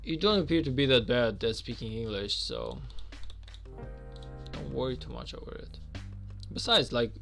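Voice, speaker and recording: Gravelly streamer guy. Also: mid-range voice, very animated, normal speed, long pauses, a bit bright, faint music behind. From Albania. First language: Albanian. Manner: chatty, filler-heavy, reads and answers viewer questions. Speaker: game streamer. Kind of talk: casual live-stream chat.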